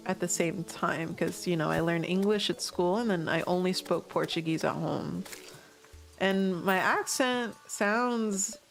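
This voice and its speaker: Young American woman, game streamer, unhurried delivery, faint music underneath, casual and laughing. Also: mid-range voice, vocal fry. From United States. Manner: chatty, swears casually, laughs often, reacts to chat. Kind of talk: casual gaming livestream chat.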